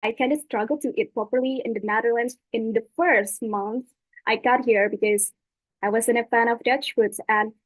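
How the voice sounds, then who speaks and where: A woman's voice: on the high side, steady tone, moderate pace, no echo, poor audio. A young woman from Indonesia giving a webinar talk over slides, hesitant with many fillers and restarts. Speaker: webinar speaker. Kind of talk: presentation with slides.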